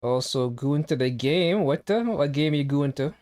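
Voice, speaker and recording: A man's voice: fairly high pitch, animated, normal speed, darkish tone. A young man streaming a game, chatting casually and teasing his chat with jokes. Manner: joking, teasing, reacting to chat.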